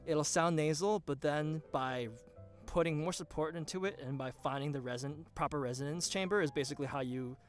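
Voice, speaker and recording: A man's voice: on the high side, brisk, hardly any pauses, faint music behind. A man co-hosting a livestream singing lesson. He talks casually, passes on viewer questions and shares his own tips. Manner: casual, reads out viewer questions, eager reactions. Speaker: co-host.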